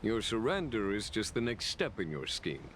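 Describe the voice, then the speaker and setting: An actor playing a composed, shrewd male general in a game, speaking in a measured, wry and commanding way. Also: fairly low pitch, very animated, a bit bright, slight background noise. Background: game music.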